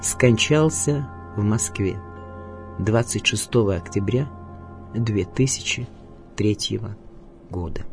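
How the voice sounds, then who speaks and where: A man reading scripted radio documentary narration in a measured, declamatory way. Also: lowish voice, music in the background.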